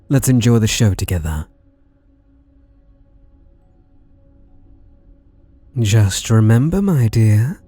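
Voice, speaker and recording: British guy doing a creepy obsessed slasher, flirty and menacing, dark husky voice, intimate asmr roleplay. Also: on the low side, no room sound, exaggerated voice. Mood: possessive, seductive. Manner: teasing, softly menacing, drawn-out delivery with sudden swoops.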